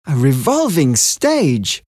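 Storybook young man, earnest, lively pitch, dry close sound, voiced by a woman. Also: measured pace, no room sound.

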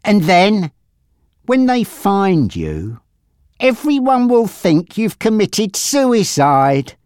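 A narrator voicing a menacing, taunting male gangster boss in an audiobook story, with a threatening, drawn-out delivery. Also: medium pitch, wildly swooping pitch, a little mellow, smooth, no echo, studio quality, pitched above the performer's own voice. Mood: taunting menace.

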